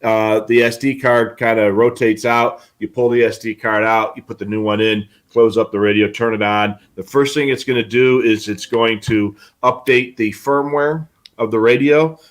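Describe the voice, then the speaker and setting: A man's voice: medium pitch, fairly even intonation, normal speed. A man co-hosting a live hobby show, explaining products in a casual, conversational way. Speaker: co-host of a live radio scanner show.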